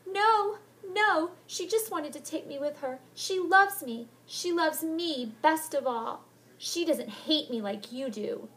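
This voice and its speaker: A woman's voice: sits high, animated, a little slow, fairly bright tone, pitched above the performer's own voice, full character voice. A woman voicing a distraught young girl who pleads, sobs and shrieks. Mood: sobbing.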